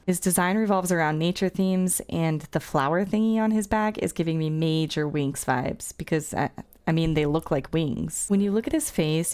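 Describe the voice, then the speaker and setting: Lower-pitched woman narrating a game guide. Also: darkish tone. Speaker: gaming video creator. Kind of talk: scripted, casual explainer narration.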